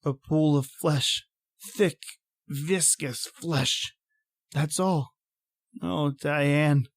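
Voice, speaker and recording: A man playing a desperate, grieving man in an old-time horror radio drama, overwrought and halting. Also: a little high, no echo, studio quality, heavily acted. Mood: grieving, horrified. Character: anguished desperate man, grieving, defiant against a monster.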